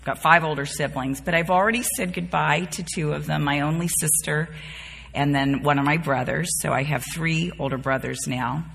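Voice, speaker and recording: A woman in her fifties teaches a Bible study with a husky edge to her bright voice. She speaks in a folksy, conversational way to a group. The room rings with long reverb. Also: low voice, steady tone, normal speed. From born and raised in the Bahamas, later North Carolina. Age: 50s. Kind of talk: informal devotional teaching to a group. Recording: reverberant hall.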